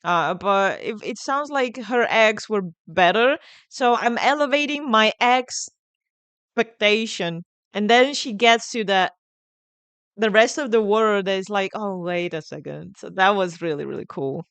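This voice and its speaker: A woman with an Italian accent hosts a music reaction in a clean, smooth voice. She speaks casually and with enthusiasm, repeating words as she works out her thoughts. The sound is dry and close. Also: mid-range voice, fairly bright tone, no echo. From Italy. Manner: enthusiastic, halting, repeats words while thinking. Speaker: music reaction video host.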